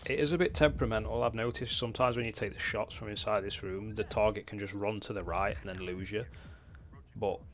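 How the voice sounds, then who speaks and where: Low-voiced man giving casual game commentary. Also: fairly even intonation, brisk, a little hiss or hum. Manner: informal, matter-of-fact. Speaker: gamer presenting a guide.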